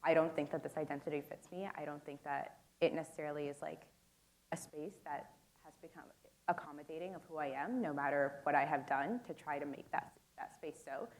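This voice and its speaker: A woman's voice: low-pitched, brisk, rough recording. A young woman moderating a conference panel in a casual way, filling her talk with "like" and "um.". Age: young adult. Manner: casual, lots of "like" and "um" fillers. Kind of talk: panel discussion. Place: conference room. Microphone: handheld microphone.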